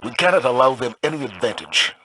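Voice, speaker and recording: An actor playing a stern, solemn military commander in a sci-fi video game. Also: male voice, medium pitch, sing-song, fast, pushed and bright, slight background noise, low-quality recording. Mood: grave, commanding. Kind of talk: scripted video game voice acting.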